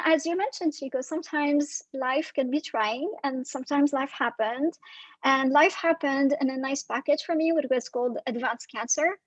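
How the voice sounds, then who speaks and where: A woman in her forties from Syria, a life and career coach, speaking reflectively as an interview guest with frequent pauses and fillers. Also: sits high, dry recording, poor audio. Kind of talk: guest in casual video interview.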